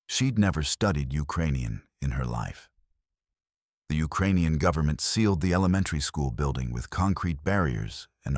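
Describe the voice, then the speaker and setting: Very deep-voiced man narrating horror. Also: dry recording, clean studio sound.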